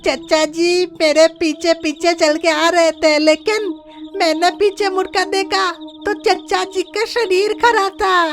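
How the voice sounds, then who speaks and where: A woman's voice: very high-pitched, little pitch movement, takes it slowly, full character voice. A woman voicing a naive, boastful young village man in a cartoon horror story, with earnest delivery. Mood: shaken, explaining. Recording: loud music behind the voice. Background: loud music.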